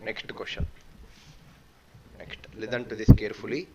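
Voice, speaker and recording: A man's voice: fairly low pitch, fairly even intonation, moderate pace, vocal fry. A man teaching a live math lesson, explaining step by step and repeating points. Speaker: online exam-prep teacher. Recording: dull lo-fi audio with faint noise.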